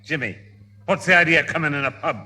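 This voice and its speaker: Wry elderly grandad, Scottish accent, swooping high-ish voice, long reverb, old radio sitcom. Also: male voice, fast, sharp tone. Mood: brisk. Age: older.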